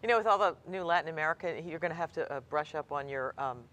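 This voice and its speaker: A woman hosts a light-hearted interview in a playful, teasing manner. She laughs easily and keeps her questions chatty and relaxed. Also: low voice, quick pace. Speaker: interviewer and host.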